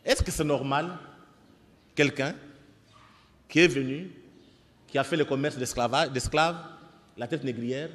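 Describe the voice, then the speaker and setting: A man's voice: medium pitch, a little slow, long pauses, a bit bright. Congolese man lecturing in an echoey hall. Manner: didactic, exhorting, with rhetorical questions. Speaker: speaker addressing an audience of young people and students. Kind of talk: impassioned public lecture. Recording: echoey hall with long reverb.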